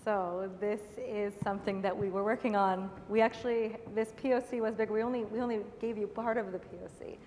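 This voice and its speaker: A woman moderates a conference panel, briskly handing questions to the panelists. Her mid-pitched voice echoes through a large hall over faint ambience. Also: fairly even intonation, a little mellow, slight background noise. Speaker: session moderator.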